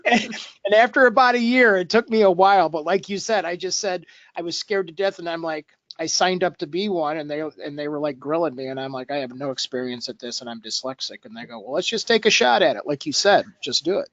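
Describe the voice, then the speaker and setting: A man hosting a casual interview, talking in a halting, rambling, personal way. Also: a little high, a bit bright, dry recording, highs cut off. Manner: halting, rambling, self-disclosing. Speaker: host interviewing a guest.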